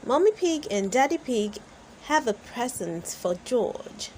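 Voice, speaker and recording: Woman, children's read-aloud host, high-pitched, very slow delivery, expressive storytelling, faint noise behind. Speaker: storybook reader and channel host.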